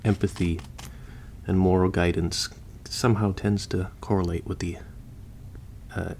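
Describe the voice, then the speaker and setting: A man's voice: deep voice, flat delivery, unhurried, darkish tone, slight background noise. A man playing a chatty, easygoing surgeon who patches up the undead, explaining casually as he works in a listener roleplay.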